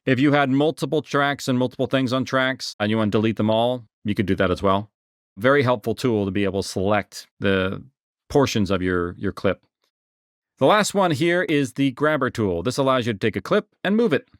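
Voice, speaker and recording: A man narrating a software tutorial in an informal, explanatory way, repeating key words for emphasis. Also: medium pitch, animated, fairly quick, dry recording, studio quality. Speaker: software instructor. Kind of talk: casual screen-share tutorial narration.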